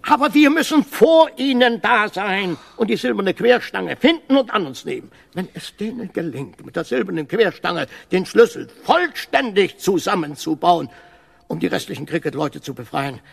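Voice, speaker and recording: A man's voice: high-pitched, sing-song, vocal fry, breathy edge, full character voice. An actor playing a grave old alien man who gives portentous, urgent warnings in a science-fiction radio drama. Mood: urgent, worried. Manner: slow, portentous, urgent explanations.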